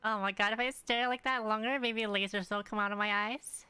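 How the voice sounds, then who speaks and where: A woman's voice: mid-range voice, fairly even intonation, fairly quick, sharp tone, smooth, no echo. A young woman giving casual, exclamatory, slangy live reactions as she streams a game.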